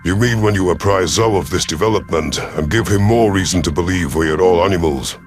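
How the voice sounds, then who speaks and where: A man voicing a proud, vengeful elderly alien reptilian commander in an audio drama, speaking in a formal, measured, sardonic way. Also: low-pitched, faint music behind, lightly acted. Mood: sardonic, wary. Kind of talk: audio drama first-person narration and dialogue.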